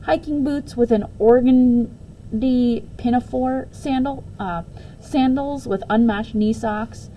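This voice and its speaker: A woman reading a children's book aloud as a teacher would, in an expressive storytelling style. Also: on the high side, slow pace, a little hiss or hum, poor audio.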